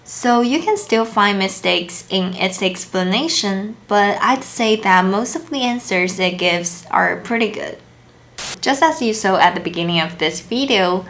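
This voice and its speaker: A young woman whose first language is Chinese hosts a language lesson, speaking clearly at an ordinary middle pitch. Her manner is upbeat and easily impressed as she explains things step by step. A faint hiss of noise sits behind her voice. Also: fairly bright tone. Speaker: language teacher and channel host. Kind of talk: explaining a tool in a lesson video.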